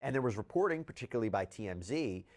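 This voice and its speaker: A man presenting news and reading out statements with measured, deliberate emphasis. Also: mid-range voice, lively intonation, darkish tone. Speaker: news commentator.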